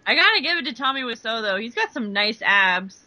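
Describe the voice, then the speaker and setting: A man's voice: extremely high pitch, very animated, a little fast, pushed and bright, poor audio. A man making giggly, wry asides in casual group movie commentary. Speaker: co-host.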